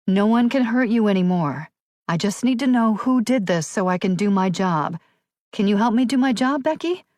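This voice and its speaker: A woman's voice: medium pitch, animated, normal speed, dry recording, voice pushed up, close to an everyday voice. A woman narrator acts out a tough female police officer in a thriller audiobook. She is commanding and protective, her voice raised and pressing, recorded close and dry. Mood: soothing, worried. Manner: urgent, forceful commands. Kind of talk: audiobook character dialogue.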